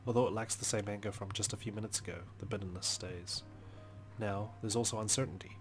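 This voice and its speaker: A man's voice: lowish voice, steady tone, quick pace, over music. A man hosting a let's play, reading a visual novel's story aloud as dramatic, brooding narration.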